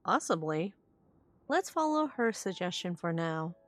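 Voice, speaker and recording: A woman's voice: on the low side, sing-song, unhurried. A woman giving casual playthrough commentary as a game streamer.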